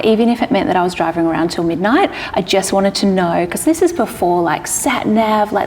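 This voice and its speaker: Gravelly-voiced Australian woman telling stories. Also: mid-range voice, darkish tone, in a large hall, slight background noise. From Sydney, Australia. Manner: chatty, fast run-on storytelling with many "like"s. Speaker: guest, publicist and entrepreneur. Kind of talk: casual interview chat.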